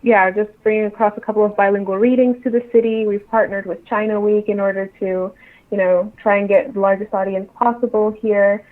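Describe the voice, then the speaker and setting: A woman's voice: mid-range voice, steady tone, brisk. Woman guest on a dull call line. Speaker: interview guest, associate editor at a publisher. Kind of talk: casual interview answers. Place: remote call. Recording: muffled, band-limited remote call line.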